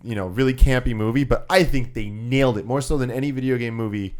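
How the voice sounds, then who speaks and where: A man's voice: medium pitch, fast, a little mellow. An American man co-hosting a podcast, casually debating with friends in a mildly contrarian, conversational way. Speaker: podcast co-host.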